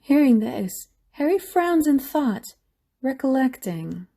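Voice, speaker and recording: Mellow, high-voiced woman narrating. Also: sing-song, takes it slowly, vocal fry. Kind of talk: scripted story narration.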